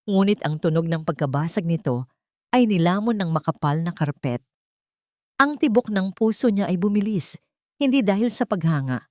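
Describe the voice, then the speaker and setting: A woman's voice: sits low, warm tone, dry recording, clean studio sound. A woman reading a scripted, dramatic love story as a storyteller.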